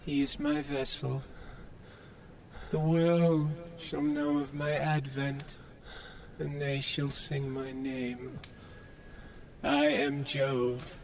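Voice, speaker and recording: A man's voice: mid-range voice, flat delivery, very slow, long pauses. A man playing an imperious godlike being in an audio drama, solemn and commanding. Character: imperious godlike male being possessing a human vessel.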